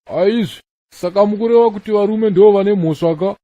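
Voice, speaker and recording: A man's voice: sits high, brisk, dark tone, dry recording, close to an everyday voice. A man playing a polite debate moderator in a comedy cartoon, speaking Shona on a dull recording. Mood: courteous.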